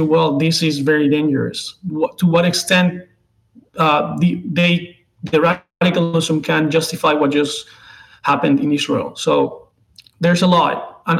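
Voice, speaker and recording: A man in his twenties with a Venezuelan accent, a native Spanish speaker, answering interview questions haltingly as he searches for words. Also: a little high, gravelly, rough recording. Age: 20s. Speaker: interview guest, political contributor.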